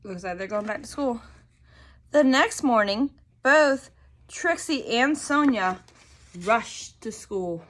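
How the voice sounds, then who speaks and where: Bright-voiced, slow-paced woman reading to children. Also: fairly high pitch, expressive. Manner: deliberate enunciation, addressing small children with prompts and questions. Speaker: teacher reading to young children. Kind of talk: expressive children's picture book read-aloud.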